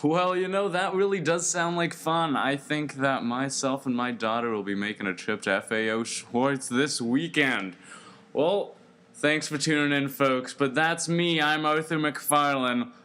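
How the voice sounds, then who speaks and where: A man's voice: medium pitch, a little slow, fairly bright tone, clean tone, close to an everyday voice. A man playing a chummy, pushy 1920s college radio host with showy, folksy announcer patter. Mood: upbeat, smoothing over. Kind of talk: 1920s radio acting, scripted hosting.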